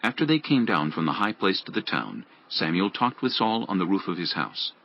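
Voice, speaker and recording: Deep, gravelly male Bible narrator. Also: animated, normal speed, a little hiss or hum. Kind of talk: scripted dramatic narration. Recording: dull lo-fi audio with music. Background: background music.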